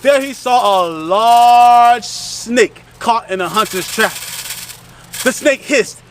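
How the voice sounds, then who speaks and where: A man's voice: very high voice, animated, takes it slowly, slight background noise. A man hosting and telling a children's story in a theatrical, singsong delivery. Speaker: storyteller, host. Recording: slightly distant, under loud music. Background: music.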